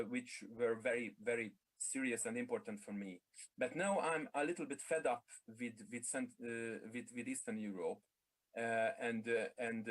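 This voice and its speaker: A man from Hungary, an author guest, speaking slowly with many hesitations. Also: mid-range voice, rough recording. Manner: slow, halting, many hesitations.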